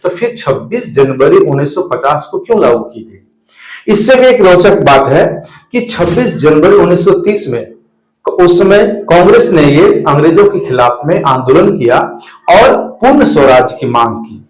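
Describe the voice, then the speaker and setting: A man's voice: on the high side, sing-song, normal speed. A man presents an explainer to viewers in an emphatic, didactic way, addressing them directly. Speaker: video presenter. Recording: echoey room.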